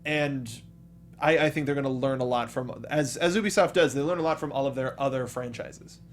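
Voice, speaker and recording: A man hosting a casual panel chat about games, talking excitedly and haltingly, often repeating his words. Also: medium pitch, slight background noise. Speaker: panel show host.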